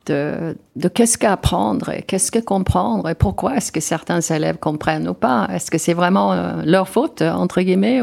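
An older woman from Sweden speaking French with a Swedish accent, answering interview questions thoughtfully, often pausing with fillers and restarts. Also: on the low side, creaky, darkish tone. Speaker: guest.

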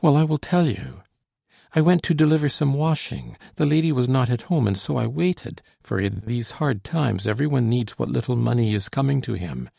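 A man's voice: medium pitch, moderate pace, gravelly, warm tone, dry recording, clean studio sound, pitched above the performer's own voice. A man voicing a frightened young woman who pleads anxiously and politely, a trembling voice in a spoken story. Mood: pleading.

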